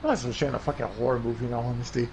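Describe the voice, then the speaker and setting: A man's voice: medium pitch, fairly even intonation, rapid-fire, darkish tone. A man bantering casually, reacting bluntly and with plenty of swearing. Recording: vehicle noise behind.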